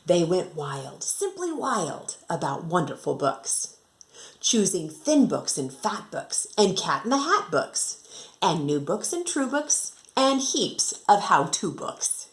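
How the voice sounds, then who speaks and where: American woman reading aloud, very animated pitch. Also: takes it slowly. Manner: expressive, rhythmic reading, addressing children directly. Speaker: second grade teacher reading aloud to pupils. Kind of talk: children's picture-book read-aloud with casual explanatory asides.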